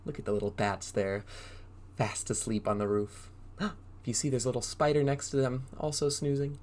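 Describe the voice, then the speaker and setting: A man with a mid-range voice reads a children's storybook aloud. He is an animated drama teacher who exaggerates his delivery and keeps turning to his young listeners with questions and prompts to play along. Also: medium pitch, moderate pace, a little hiss or hum. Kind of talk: interactive children's read-aloud.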